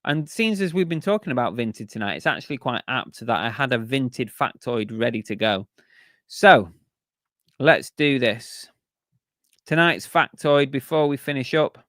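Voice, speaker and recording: A man hosting a relaxed live stream, chatting loosely with lots of ums and restarts. His voice sits at an ordinary middle pitch and is wearing thin, nearly gone. The sound is dry and close, with no room echo. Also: unhurried. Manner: chatty, rambling, with many fillers and restarts. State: losing his voice. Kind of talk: casual live stream chat.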